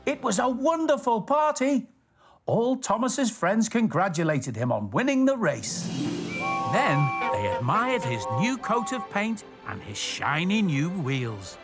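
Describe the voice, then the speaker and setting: A man tells stories and gives simple step-by-step instructions to small children. His pitch swings widely and he talks straight to the audience, with music clearly playing behind him. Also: unhurried. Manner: expressive, addresses young viewers directly. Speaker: storyteller and presenter. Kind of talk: children's story reading and step-by-step craft narration.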